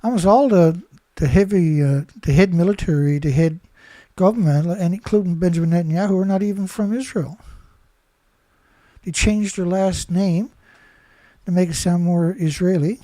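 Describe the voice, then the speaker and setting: Male commentator, rambling and indignant, dark tone, dry close sound. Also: high voice, moderate pace, no echo. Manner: rambling, indignant, many restarts and "right?" tags. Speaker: commentator reading news. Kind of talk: casual monologue reading headlines with commentary.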